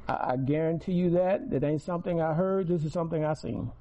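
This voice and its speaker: A man's voice: on the high side, brisk, mellow, highs cut off. A man, giving a preaching testimony to a congregation, repeating key words and building volume on stressed phrases. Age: 60s.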